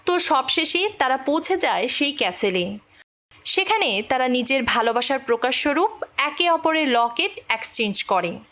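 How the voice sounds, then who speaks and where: A woman's voice: sits high, expressive, moderate pace, sharp tone. A woman telling a film's plot as scripted story narration. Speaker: movie recap narrator.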